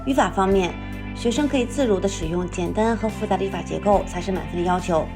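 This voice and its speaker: A woman teacher calmly explaining exam scoring in a scripted voice-over lesson, with music behind her. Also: mid-range voice, quick pace.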